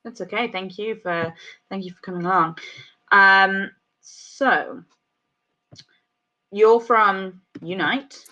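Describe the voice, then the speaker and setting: A woman's voice: mid-range voice, lo-fi sound. A woman hosting an online panel, thanking guests and hesitating with frequent ums. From United Kingdom. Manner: warm thanks, halting with many ums. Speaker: panel host.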